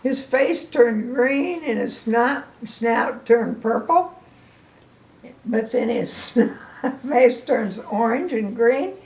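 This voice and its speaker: A woman's voice: on the high side, animated, extremely slow, creaky, darkish tone. An older woman doing a children's read-aloud as a grandmother, with exaggerated emphasis and asides addressed straight to the listeners. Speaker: grandmother storybook reader. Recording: echoey room.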